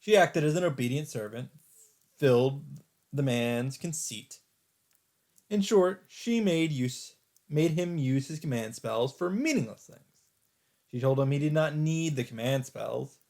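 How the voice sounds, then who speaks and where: A man reading a visual novel aloud as a let's play host, in dramatic, expressive narration with deliberate phrasing and pauses. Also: medium pitch. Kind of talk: dramatic narration read aloud from on-screen text. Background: background music.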